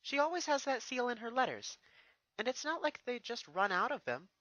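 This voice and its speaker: Cartoon pony princess, man performing: young, studious, high voice, cautious yet curious, earnest delivery. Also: very animated, normal speed, pushed and bright, no echo, lo-fi sound, exaggerated voice. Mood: puzzled. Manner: earnest, reasoning aloud.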